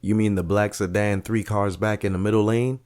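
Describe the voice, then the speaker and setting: Actor as a low-voiced direct young heir, plain and determined. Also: male voice, fairly even intonation, moderate pace, darkish tone, close to an everyday voice. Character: determined young male heir caught in intrigue.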